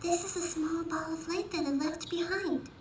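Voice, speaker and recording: A woman voicing a small, childlike, earnest fairy companion in a video game cutscene, with a squeaky voice. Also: moderate pace, noisy background. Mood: excited. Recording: echoey, distant, over game music.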